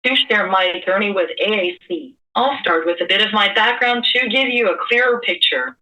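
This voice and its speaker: A woman's voice coming from a speech device, with even, measured, prepared delivery. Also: mid-range voice, far from the mic, poor audio. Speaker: guest speaking through a speech device. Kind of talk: synthesized speech from a communication device.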